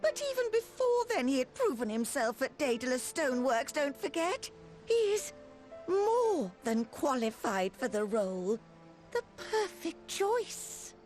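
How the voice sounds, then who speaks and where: An actress playing a genteel, devoted middle-aged wife in a video game, with a refined, formal and emotive manner. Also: female voice, fairly high pitch, darkish tone. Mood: proud, then distressed. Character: genteel wealthy wife, anxious and devoted, theatrical.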